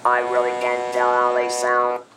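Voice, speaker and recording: A man speaking through an electrolarynx in a buzzing, robotic monotone, giving informal advice in halting, deliberate phrases. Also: medium pitch. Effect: electrolarynx vibration in place of natural voice. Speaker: electrolarynx user advising new users. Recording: loud steady background noise.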